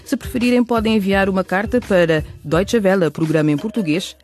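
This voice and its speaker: A man's voice: sits high, a little fast, a little mellow. A man announcing in a scripted radio narration style, with a presenter's delivery. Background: music.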